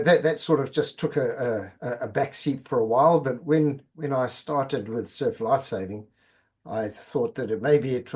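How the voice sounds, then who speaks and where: A man's voice: mid-range voice, a little mellow, slightly rough. A middle-aged man from Zimbabwe, a podcast guest chatting casually and often repeating words as he goes. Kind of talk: casual interview chat.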